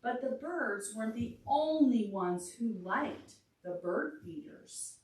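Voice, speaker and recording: A woman reading aloud to young children as a storytime librarian, pausing to put questions to them. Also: a little high, lively intonation, a little mellow. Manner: asks the children questions along the way. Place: indoor library storytime room. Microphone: distant room microphone. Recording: echoey room, distant mic, faint noise.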